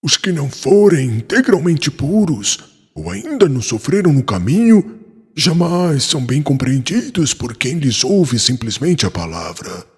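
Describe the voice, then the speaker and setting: A man acting a wise spiritual mentor in a dramatized audiobook. The voice is deeply hoarse-edged and breathy, with lively intonation as he patiently instructs, carried in a long reverb. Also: exaggerated voice. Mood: grave, instructive. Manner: solemn, measured, didactic.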